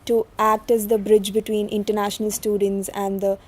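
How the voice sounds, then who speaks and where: A woman's voice: mid-range voice, little pitch movement, moderate pace, breathy edge. A woman interviewing a student, asking questions and often echoing his words back in short replies. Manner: frequent short echoing backchannels.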